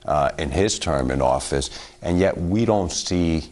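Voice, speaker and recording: Deep-voiced hesitant talk show host. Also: male voice, expressive, unhurried, darkish tone. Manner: hesitant questioning with ums. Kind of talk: studio talk show interview.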